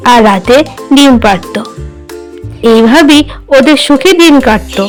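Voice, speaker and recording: A woman storyteller reading a scripted narration for a children's animated tale. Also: sits high, a little slow. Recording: music behind the voice.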